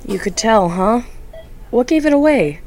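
A woman playing an eager young coordinator, lively voice. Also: fairly high pitch, unhurried, a little hiss or hum. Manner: eager and friendly. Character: newly promoted earnest young woman coordinator. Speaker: foundation coordinator. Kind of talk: audio drama acting.

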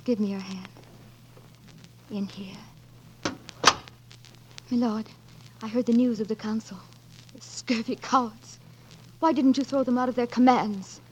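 An actress playing a highborn, romantic young lady, earnest and courtly, with a husky, breathy voice in 1940s radio drama style. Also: steady tone, a little slow, long pauses, noisy background, natural voice. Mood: tender, earnest. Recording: dull vintage 1940s radio broadcast.

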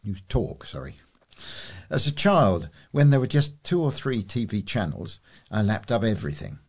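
Casting: British man, very low pitch, volunteer reader of local newspaper letters and editorials. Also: big pitch swings, moderate pace, a little mellow, slightly rough. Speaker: volunteer newsreader for a talking newspaper. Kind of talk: reading letters and editorials aloud.

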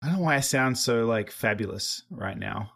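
A man's voice: medium pitch, animated, moderate pace, vocal fry, fairly bright tone, slightly rough. A man hosting a casual podcast chat, rambling a bit and swearing loosely. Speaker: podcast host.

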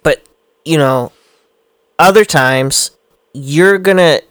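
A man with a smooth, resonant mid-range voice, talking earnestly and thoughtfully with an easy laugh. The sound is dry and close. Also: fairly high pitch, slow, fairly bright tone, no room sound. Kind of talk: casual chat.

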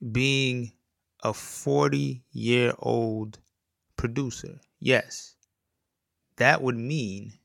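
A man's voice: mid-range voice, vocal fry, a bit bright, dry recording, clean studio sound. A man in his forties hosting a solo podcast as a music producer, talking in a rambling, easygoing way with lots of fillers and restarts. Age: 40s. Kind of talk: casual solo podcast talk.

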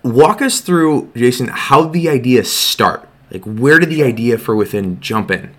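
A man's voice: medium pitch, lively intonation, a little slow. A man hosting a podcast, asking questions in a friendly, conversational way. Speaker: podcast host. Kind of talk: casual interview conversation.

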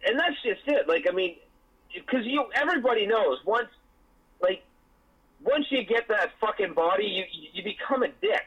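A man rambling through a casual podcast chat, often restarting his sentences and swearing. His voice is husky and a bit high, and the recording sounds dull and lo-fi. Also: animated, normal speed. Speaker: podcast co-host.

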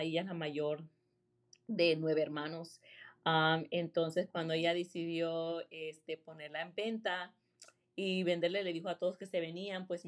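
A woman from Rhode Island, a real estate agent, chatting in a relaxed interview and dropping English fillers between her sentences. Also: low voice. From Rhode Island, USA. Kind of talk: casual interview.

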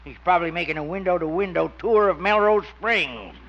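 A man's voice: high-pitched, fairly quick. An American man playing a skeptical small-town doctor who needles his crony, in the drawling comic style of 1950s radio. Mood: needling. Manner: needling, drawling, cackles at own jokes. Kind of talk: 1950s radio situation comedy acting. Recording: muffled, noisy old radio recording.

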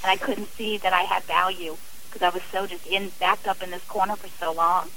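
A woman's voice: on the low side, fairly even intonation, brisk, sharp tone, a little hiss or hum, low-quality recording. A middle-aged woman speaking as an interview guest, earnest and emphatic in a conversational way. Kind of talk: personal account in a radio interview.

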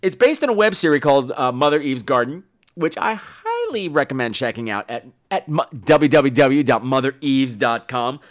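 A man's voice: mid-range voice, very animated, moderate pace. A man hosting a podcast solo in casual, rambling talk, with a campy, self-mocking, bawdy manner. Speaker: podcast host.

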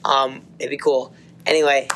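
A man co-hosting a casual faith podcast, slightly hoarse, rambling with many restarts and fillers. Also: mid-range voice, flat delivery, moderate pace, a bit bright, low-quality recording. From United States. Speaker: podcast co-host.

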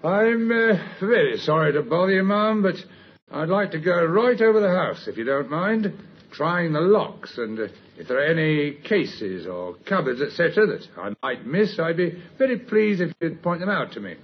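An actor playing a polite, diligent police sergeant, courteous and formal, in old-time radio drama. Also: male voice, fairly high pitch, sing-song, normal speed, breathy edge. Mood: polite, apologetic. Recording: dull, lo-fi old radio recording.

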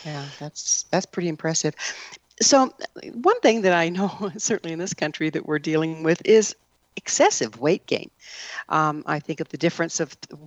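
A woman's voice: low-pitched, no echo. A middle-aged woman interviewing in a conversational, reflective way, turning her points into questions.

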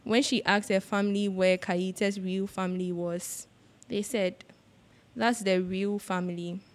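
Woman narrating fiction, mid-pitched voice. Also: steady tone, measured pace. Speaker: reader. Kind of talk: scripted literary narration, reading a story aloud.

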